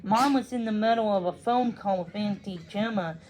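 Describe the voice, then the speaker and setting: A woman's voice: medium pitch, normal speed, a little mellow, low-quality recording. A woman reading a children's storybook aloud as a read-along storyteller, stumbling now and then over the text.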